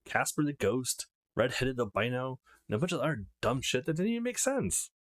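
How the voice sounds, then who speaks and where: A man's voice: mid-range voice, big pitch swings, a little fast, natural voice. A man voicing a brash, foul-mouthed teenage girl from a visual novel, teasing and bragging in a cheeky, crude way. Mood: indignant. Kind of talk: reading visual novel dialogue aloud.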